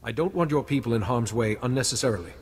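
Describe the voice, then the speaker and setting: A man's voice: medium pitch, lively intonation, fast talker, slightly rough. An actor playing a composed, formal, dutiful male hero in a video game, speaking resolutely. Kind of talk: scripted video game dialogue. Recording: reverberant game audio. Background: game music.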